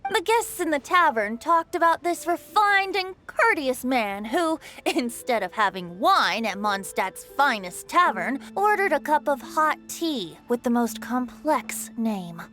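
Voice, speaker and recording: A woman voicing a cheerful, playful young male bard with a theatrical, breezy, flattering manner in a video game. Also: sits high, measured pace, hardly any pauses, heavily acted. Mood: flattering, amused. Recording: music behind the voice.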